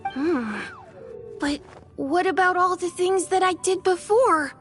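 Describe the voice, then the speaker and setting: A woman's voice: very high-pitched, slow pace, soft background music. A woman voicing a small, young, innocent dragon in a video game, with a childlike voice and a hesitant, earnest manner. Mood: hesitant, unsure.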